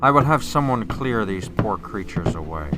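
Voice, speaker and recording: An actor playing a devout, steadfast biblical patriarch in a radio drama, speaking formally and solemnly. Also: male voice, on the low side, expressive, normal speed, natural voice. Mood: solemn, grieving. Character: devout, steadfast biblical patriarch, grieving yet faithful father.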